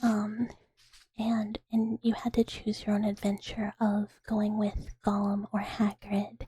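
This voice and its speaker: A young woman hosting an ASMR stream, whispering close to the mic in a breathy, giggly, self-mocking way. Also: mid-range voice, monotone, slow, dark tone, dry recording. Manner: giggly, self-mocking, hesitant with many ums. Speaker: livestream ASMR host.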